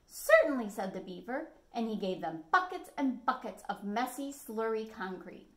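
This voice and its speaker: A middle-aged woman hosting storytime, reading a picture book to children with animated, dramatic emphasis. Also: a little high, normal speed. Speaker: library storytime host. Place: living room at home, indoors.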